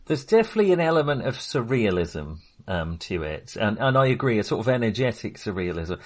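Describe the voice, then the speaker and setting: A man co-hosting a casual film podcast, with a slightly husky voice and lively pitch movement. He talks hesitantly and in a self-deprecating way, thinking aloud as he goes. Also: brisk.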